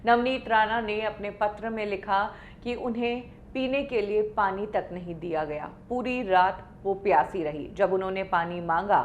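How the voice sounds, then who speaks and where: Female Indian news explainer presenter, mid-pitched, emphatic storyteller delivery, some low rumble on the recording. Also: moderate pace, few pauses, lo-fi sound. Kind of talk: scripted news explainer narration.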